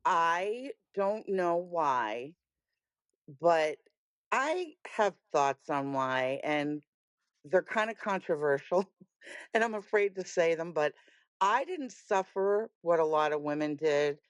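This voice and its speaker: Raspy woman, candid podcast guest, bold emphatic delivery, dry mic. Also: fairly low pitch, animated, slow, dry recording. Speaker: guest, music booker. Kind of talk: podcast conversation.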